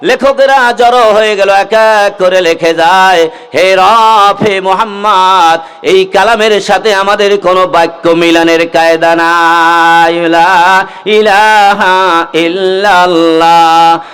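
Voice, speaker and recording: A man's voice: sits high, steady tone, takes it slowly, a bit bright, clean tone, soft background music. A man with a Bangladeshi accent preaching an Islamic sermon, exhorting his listeners and moving between speech and melodic chanting. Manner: exhortative, calls on listeners to respond. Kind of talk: waz sermon to a gathering, with melodic chanting of verses and rhymes.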